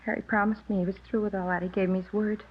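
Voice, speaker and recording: A woman's voice: mid-range voice, little pitch movement, rapid-fire, lightly acted. An actress playing a loyal, anxious young wife and mother in a 1950s radio drama, speaking politely and with earnest hesitation. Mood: pleading, distressed. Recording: dull, muffled old radio recording.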